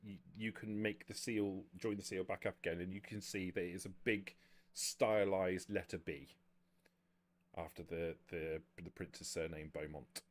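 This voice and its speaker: A man's voice: low voice, steady tone, normal speed. A man acting as game master, improvising narration and rulings with a hesitant, self-correcting delivery.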